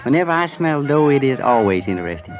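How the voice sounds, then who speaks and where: Male actor as shady greedy informant, rapid wise-guy patter, sly, radio crime drama, music behind. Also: medium pitch, very animated, natural voice. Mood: sly, pushy. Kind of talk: 1950s radio acting. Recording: dull old radio recording, music behind.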